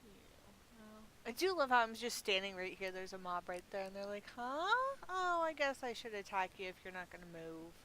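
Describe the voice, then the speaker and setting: A middle-aged woman hosts a gameplay video, chatting casually and thinking aloud with a self-amused air. Her voice sits at an average pitch for a woman. There is a noticeable hiss on the recording. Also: animated, moderate pace, sharp tone, poor audio. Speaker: gaming video host. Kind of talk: casual rambling gameplay commentary. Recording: clearly audible background hiss.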